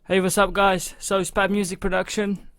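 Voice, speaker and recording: A man's voice: high-pitched, flat delivery, moderate pace, fairly bright tone, clean tone. A man hosting a music production tutorial in a home studio. He talks loosely through each step into a studio microphone, with lots of "you know" and "like". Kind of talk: casual step-by-step software tutorial. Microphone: studio microphone into a recording channel.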